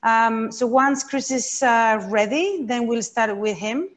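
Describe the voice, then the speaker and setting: A woman hosting an online webinar, briskly welcoming people and guiding the audience. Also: on the high side. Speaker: webinar host. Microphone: video call.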